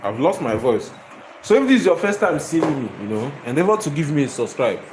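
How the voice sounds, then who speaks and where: A man, hoarse from losing his voice, ranting in a vlog from inside his own shop. He repeats himself emphatically, stumbles over his words and keeps breaking into laughter. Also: fairly high pitch, sing-song, a little fast, background noise. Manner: repetitive, emphatic, stammering restarts, bursts of laughter. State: hoarse, lost voice. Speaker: shop owner vlogger.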